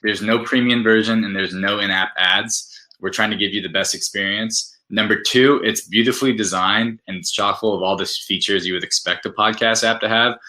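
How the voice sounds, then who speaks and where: A man's voice: lowish voice, fairly even intonation, normal speed, bright, forceful tone, rough recording. A man speaking as an interview guest, explaining his product in an enthusiastic, conversational way and laying out points one by one.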